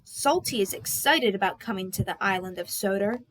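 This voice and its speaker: A woman's voice: mid-range voice, expressive, moderate pace, a bit bright, rough recording. A woman narrating a scripted children's story as a read-aloud. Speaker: storybook reader.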